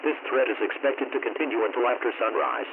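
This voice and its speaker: A man reading a scripted emergency broadcast announcement in a flat, formal, automated-sounding way through a radio alert filter. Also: mid-range voice, fast talker, creaky. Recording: tinny narrow-band radio, loud static noise.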